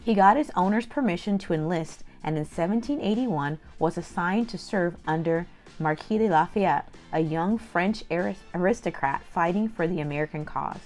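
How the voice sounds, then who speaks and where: A woman's voice: lowish voice, normal speed, a little mellow, faint music behind. A woman hosting a children's storytime, reading aloud expressively and speaking directly to young listeners.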